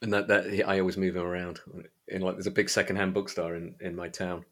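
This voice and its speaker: A man hosting a podcast, chatting casually about books in a halting way, often repeating and correcting himself. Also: low voice, level tone, a bit bright. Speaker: podcast host. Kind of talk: casual book chat.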